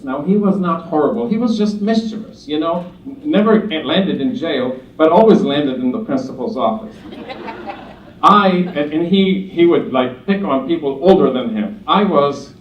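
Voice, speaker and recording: A man's voice: fairly high pitch, expressive, a little slow, a little hiss or hum. Lebanese-born man speaking in a roomy hall. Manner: humorous, earnest storytelling with asides. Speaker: speaker at a conference. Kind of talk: motivational speech. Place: conference hall. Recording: distant roomy hall sound, dull.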